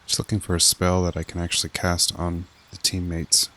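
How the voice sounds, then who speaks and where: A man's voice: sits low, monotone, a little fast, pushed and bright. A man doing casual video game commentary as a streamer, muttering unhurriedly as he thinks aloud.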